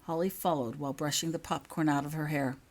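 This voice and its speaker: A woman narrating fiction in an expressive storybook style, reading as the author of the tale. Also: sits low, quick pace.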